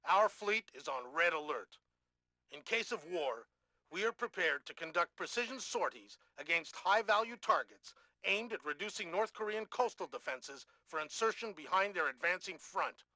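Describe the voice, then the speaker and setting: An actor playing a grim, hawkish American military commander giving an authoritative formal briefing in a video game. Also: male voice, sits high, lively intonation, moderate pace, sharp tone, no room sound, full character voice, poor audio. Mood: stern, hawkish.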